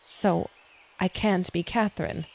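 Frustrated female android played by a woman, terse, demanding; lowish voice with vocal fry, audio drama. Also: steady tone, a little slow. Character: frustrated, insistent female android seeking answers.

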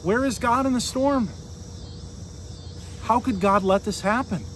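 An American man in his forties preaching an informal sermon as a pastor, earnest and conversational, with pauses and rhetorical questions. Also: very high-pitched, fairly bright tone. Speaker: Lutheran pastor. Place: outdoors beside a fallen tree near a street. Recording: outdoor noise, insects and vehicles.

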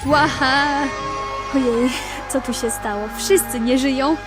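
A woman's voice: high voice, fairly even intonation, slow, over loud music. A woman playing a bewildered, astonished innocent onlooker in an amateur radio play, exclaiming wide-eyed. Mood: shocked, bewildered.

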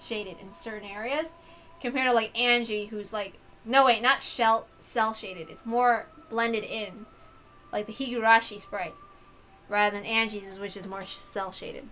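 A woman's voice: a little high, fairly even intonation, measured pace, sharp tone, soft background music, rough recording. A woman streamer reading a visual novel aloud, with informal asides of her own. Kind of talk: casual commentary on a game read-aloud.

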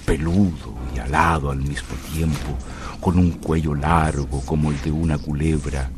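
A man narrating a mystery radio drama in a deliberate, dramatic way with pauses. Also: extremely low pitch, fairly even intonation, background noise.

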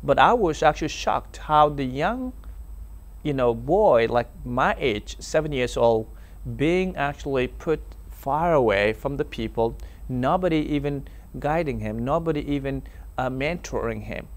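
A man's voice: mid-range voice, expressive. Earnest young man, Dinka native speaker. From South Sudan. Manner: earnest, halting, with many fillers. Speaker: interview guest. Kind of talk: personal testimony in a TV interview. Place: TV studio set. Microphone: lapel mic.

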